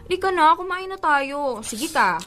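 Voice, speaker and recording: Young girlfriend, high voice, affectionate, coaxing, playfully pushy, radio drama, music underneath. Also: animated, unhurried, sharp tone, heavily acted. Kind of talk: Filipino radio drama acting.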